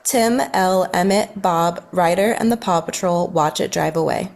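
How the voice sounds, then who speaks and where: Woman narrator, somewhat low register, reading stage directions from a script at a table read. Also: normal speed, creaky, darkish tone.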